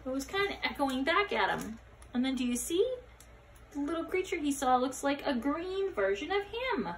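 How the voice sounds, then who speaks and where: High-pitched, animated woman storyteller. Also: moderate pace, slight background noise. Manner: expressive, asks young listeners questions. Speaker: read-aloud storyteller. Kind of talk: children's picture-book read-aloud with asides to listeners.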